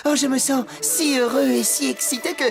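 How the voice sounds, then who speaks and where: A woman's voice: sits high, fairly even intonation, normal speed, creaky, over music. A woman voicing a polite, naive, earnest little robot in a children's cartoon, with a formal, sing-song, curious way of talking. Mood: thrilled.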